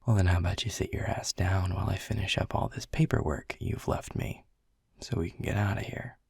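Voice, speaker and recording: A man playing a world-weary, grumpy male middle manager who talks in a hushed, breathy, sardonic way and swears casually in an audio roleplay. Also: low-pitched, steady tone, fairly quick, no room sound, clean studio sound, exaggerated voice. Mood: wry, teasing. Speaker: boss.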